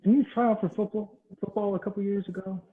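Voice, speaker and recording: A man's voice: sits high, fairly even intonation, fast talker, natural voice. Man reading a brash teen jock over a tinny line. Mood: casual. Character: brash, hot-tempered teen football jock. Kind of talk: table read.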